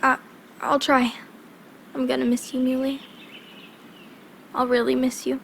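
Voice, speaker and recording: A woman's voice: high-pitched, completely flat delivery, a little slow, gravelly, slight background noise. A woman playing a shy, earnest young girl in grief, in a video game. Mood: wistful.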